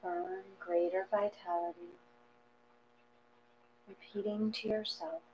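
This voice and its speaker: A woman leading a guided meditation, reading slowly and deliberately in short phrases. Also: medium pitch, fairly even intonation. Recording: dull recording with hum.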